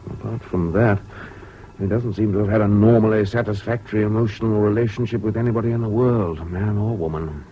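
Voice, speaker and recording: An actor with a British accent playing a frank psychiatrist in a 1970s radio drama, blunt and matter-of-fact. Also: male voice, on the low side, a little fast, close to an everyday voice. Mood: frank, clinical. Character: blunt, frank male psychiatrist giving advice. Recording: dull, noisy old radio recording.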